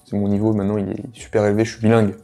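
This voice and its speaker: A man's voice: lowish voice, completely flat delivery, racing, dark tone, close to an everyday voice. A man voicing a boastful young man who brags that he is bilingual. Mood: smug. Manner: smug, bragging.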